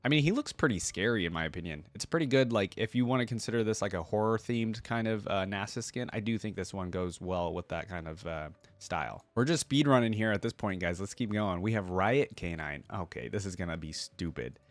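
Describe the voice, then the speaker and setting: A man's voice: fairly low pitch, lively intonation, a little fast, hardly any pauses, no room sound, soft background music. A man giving casual, off-the-cuff reaction commentary, informal and opinionated as he thinks aloud. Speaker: YouTube reaction host.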